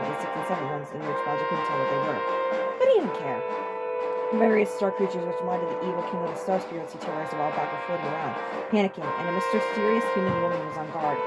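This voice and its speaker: Female story narrator: lowish voice, brisk dramatic reading over prominent music. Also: poor audio. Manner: stumbles over written words. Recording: loud music under the voice.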